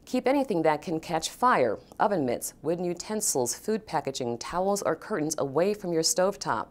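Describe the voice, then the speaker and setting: A woman delivering a scripted safety announcement in a calm, measured and deliberate way. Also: on the low side. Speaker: safety spokesperson. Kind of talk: scripted public service announcement.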